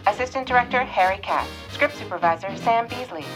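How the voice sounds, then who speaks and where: A woman's voice: a little high, lively intonation, fairly quick, over music, low-quality recording. A woman announcer reading scripted production credits in an orderly list.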